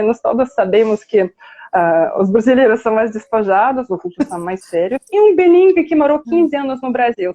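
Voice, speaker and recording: A woman's voice: on the high side, warm tone, highs cut off. A woman researcher talking through a live video interview, conversational, with many fillers and restarts. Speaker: guest researcher.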